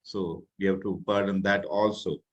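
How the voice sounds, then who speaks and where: A middle-aged man from India with an Indian accent, giving an earnest religious talk to a youth class and sometimes pausing to search for words. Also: sits low, steady tone. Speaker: guest speaker. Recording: muffled, slightly lo-fi audio.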